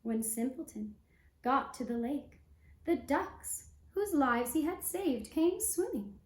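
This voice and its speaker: A woman tells a fairy tale as a puppet show narrator. Her voice is high and her delivery slow and measured, pausing between phrases. The room adds a noticeable echo. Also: animated. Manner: measured, with long phrase breaks.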